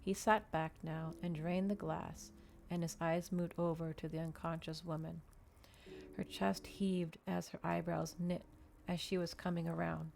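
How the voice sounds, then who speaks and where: A woman's voice: on the low side, little pitch movement, a little slow, creaky, darkish tone. A woman narrating a novel aloud as a scripted ASMR reading. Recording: noticeable background noise.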